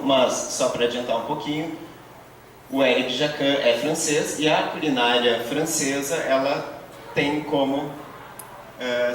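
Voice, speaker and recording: A man lectures to a class, working through concepts patiently and tagging his points with little checks for agreement. He sits far from the microphone in a very reverberant room. A faint room hum runs underneath. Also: medium pitch. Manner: many fillers and tag questions, explanatory. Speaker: course instructor. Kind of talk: university lecture. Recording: very echoey, distant, faint room noise.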